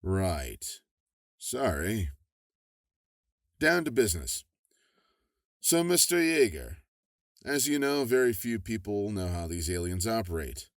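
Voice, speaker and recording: Brief: man as a smooth, folksy government official, very low voice, dry studio sound. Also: very animated, slow, long pauses, no room sound, full character voice. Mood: affable. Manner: affable, persuasive, chuckling. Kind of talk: audio drama acting.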